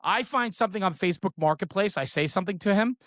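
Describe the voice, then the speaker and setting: A man's voice: high-pitched, fast talker, fairly bright tone, no room sound, clean studio sound. A middle-aged man in a casual, enthusiastic show-and-tell chat, speaking informally with slang and often checking for agreement. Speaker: guest, trading card brand founder.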